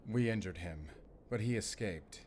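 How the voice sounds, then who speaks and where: A man acts out a German wolf hunter in a cinematic audio drama. His voice is low and German-accented, his manner formal and composed with dry wit. Some background noise and ambience sit behind him. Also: moderate pace, a little mellow, natural voice. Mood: firm, guarded. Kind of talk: cinematic audio roleplay acting, speaking to the listener.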